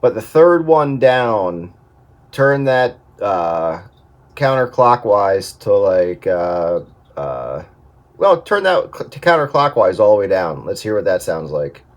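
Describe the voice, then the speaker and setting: A man's voice: lowish voice, very animated, slow. A man in a casual technical chat over the radio, advising on an audio setup in a hesitant, thinking-aloud way.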